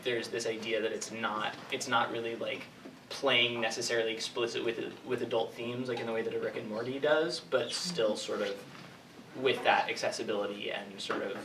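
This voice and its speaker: A man in his twenties giving informal, rambling answers as a writer at an audience Q&A. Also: medium pitch. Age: 20s. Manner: hesitant, rambling, heavy use of "like". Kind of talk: informal Q&A talk. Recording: echoey room, distant mic, noisy.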